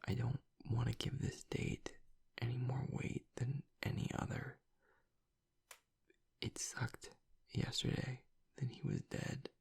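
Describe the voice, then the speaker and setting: A man playing a guarded, vulnerable, grieving werewolf boyfriend in an intimate audio roleplay, speaking in a halting, breathy, gravelly whisper. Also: mid-range voice, darkish tone, exaggerated voice. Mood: grieving, bitter. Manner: halting, reluctant, haltingly confessional.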